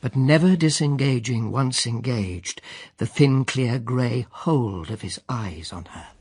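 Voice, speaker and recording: An actor narrating a radio drama in verse as an observant, deliberate, declamatory storyteller. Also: male voice, medium pitch, animated, a little mellow, slightly rough, full character voice. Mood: measured, vivid.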